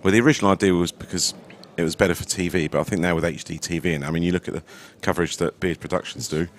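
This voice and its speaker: Deep fast-talking male commentator. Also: steady tone. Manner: chatty, analytical about shots. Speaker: pool commentator. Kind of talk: casual live-stream commentary.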